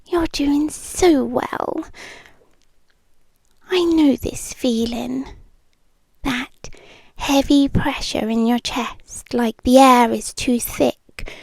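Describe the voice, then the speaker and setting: A possessive, doting British girlfriend, acted by a woman, murmurs in a high, breathy voice that often slips into whispers. She coaxes very slowly, lingering between phrases with soothing, clingy tenderness. It is an intimate ASMR roleplay, close and dry on the mic. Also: dry recording, full character voice, poor audio. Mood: soothing, protective. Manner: slow, lingering, coaxing delivery with pauses. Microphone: close microphone, dry.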